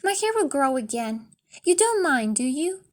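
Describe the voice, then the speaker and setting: Woman voicing an anxious young wife, high-pitched. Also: very animated, normal speed. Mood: pleading. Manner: emotional, pleading, exclaiming. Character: young wife, anxious, affectionate, eager and pleading. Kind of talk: storybook character reading.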